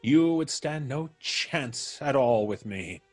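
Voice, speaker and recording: A gloating, menacing ex-convict out for revenge in old-time radio style. His taunts are theatrical and mock-polite, swinging widely in pitch, with a husky edge to the voice. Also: lively intonation, slow pace, soft background music. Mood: taunting, menacing.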